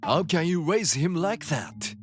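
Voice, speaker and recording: Scornful elderly father-in-law, voiced by a woman, gruff and judgmental, low pitch, slow manga dub delivery. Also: sing-song, faint music behind. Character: stern, scornful old grandfather, father-in-law.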